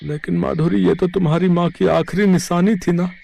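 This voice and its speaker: Man acting poor plaintive farmer, high-pitched and creaky. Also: steady tone, moderate pace, mellow. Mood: worried. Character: poor, despairing village farmer, devoted husband and father.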